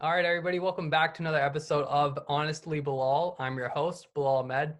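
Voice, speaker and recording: A man's voice: on the high side, steady tone, fast talker, a bit bright, soft background music. A young man in his twenties hosting an interview podcast, talking in a friendly, conversational way with a few fillers. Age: 20s. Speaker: podcast host. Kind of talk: casual interview.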